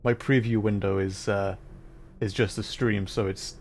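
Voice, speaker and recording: A man's voice: lowish voice, steady tone, a little slow, a little mellow, slight background noise. A man livestreaming a video game, chatting casually to viewers and rambling as he thinks aloud while playing.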